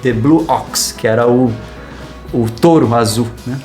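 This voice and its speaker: A man co-hosting a casual film talk show, speaking in a relaxed, meandering way with many fillers. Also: mid-range voice, measured pace. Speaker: podcast co-host. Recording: roomy echo, faint guitar music.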